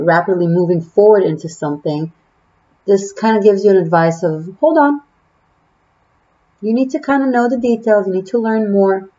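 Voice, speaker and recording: Casual tarot reader, a woman with an Israeli accent and a slightly low voice, rambling through fillers on a muffled home recording. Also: dark tone. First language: Hebrew. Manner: chatty and musing, trailing off with fillers. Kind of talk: casual tarot reading to camera.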